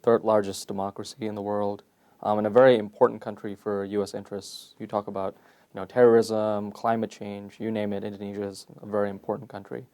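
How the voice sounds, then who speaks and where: A young man from Malaysia, a PhD candidate answering interview questions in a measured, thoughtful way with frequent fillers. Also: lowish voice, level tone, darkish tone. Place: conference venue.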